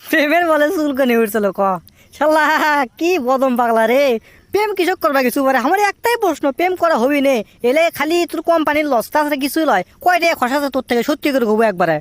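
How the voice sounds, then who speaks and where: A man acts out a brash young cartoon man with a high voice and a Bogura accent. He rattles along very fast, teasing and boasting, in a dry, close sound. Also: no room sound. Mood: mocking. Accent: Bogura, Bangladesh. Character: cheeky, boastful young man scolding his lovesick friend. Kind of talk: comic cartoon dialogue.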